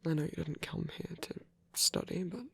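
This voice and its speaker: A man playing a gentle, caring old vampire priest in an intimate ASMR roleplay, speaking in a halting, bashful, breathy whisper. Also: on the high side, fairly even intonation, no echo, full character voice. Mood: awkward, reassuring. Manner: halting, bashful, softly reassuring.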